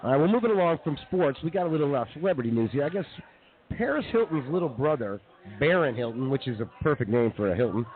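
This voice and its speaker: A man hosting a live comedy show, running through news stories in a casual, halting, chatty way. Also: a little high, animated, phone-quality sound. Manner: halting, informal, chatty delivery.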